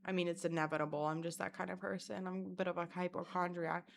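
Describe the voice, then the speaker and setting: A woman telling a rambling, profane story in a casual vlog, mocking herself and breaking into loud laughter. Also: lowish voice, quick pace, vocal fry. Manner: self-mocking and animated, bursts of loud laughter. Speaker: vlogger.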